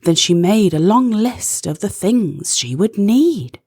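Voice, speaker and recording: A woman narrating a rhyming children's storybook expressively, with deliberate, dramatic phrasing. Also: mid-range voice, animated, dark tone, husky, no room sound, studio quality.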